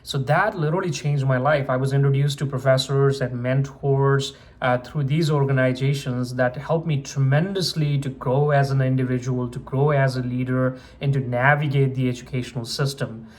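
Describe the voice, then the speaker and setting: A man's voice: mid-range voice, steady tone, moderate pace, few pauses, darkish tone. Earnest young man recounting his story. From Nepal. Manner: earnest, many uh fillers and restarts. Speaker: medical student vlogger. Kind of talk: personal story told to camera.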